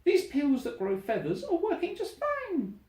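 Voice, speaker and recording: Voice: high-pitched, huge pitch swings, measured pace, a little mellow, voice pushed up, full character voice. A reader voicing a vain, jealous little girl bird who whines and squeals, in a roomy recording. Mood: gleeful. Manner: whiny, squealing. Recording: roomy echo.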